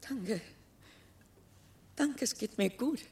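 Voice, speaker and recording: A nervous older woman in a radio drama. Her voice is fairly high. She sounds guarded and probing, as a retired woman full of questions. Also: lively intonation, slow pace, mellow. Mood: flustered. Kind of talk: German radio play acting.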